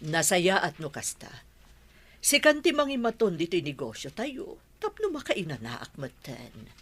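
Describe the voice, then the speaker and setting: A woman's voice: fairly low pitch, huge pitch swings, a little slow, a bit bright, breathy edge, full character voice. A woman with a Filipino Ilocano accent playing a worried, weary older mother who runs a family business in a radio drama. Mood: concerned. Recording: noisy track with clicks and insect sounds.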